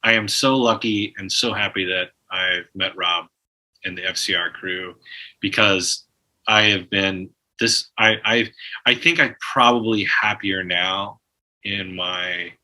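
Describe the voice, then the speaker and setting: A man's voice: low voice, fairly even intonation, measured pace, pushed and bright, rough recording. A man guest on a podcast, telling stories in a rambling way with many restarts and fillers. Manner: laughs while telling stories. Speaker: musician guest. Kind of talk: casual podcast conversation.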